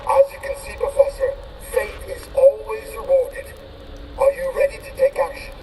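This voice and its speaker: An actor playing a fervent, faith-preaching faction leader in a video game, deliberate and rhetorical. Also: male voice, very high voice, fairly even intonation. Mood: triumphant. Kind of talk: dramatic video game acting. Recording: distant, echoing, noisy scene audio.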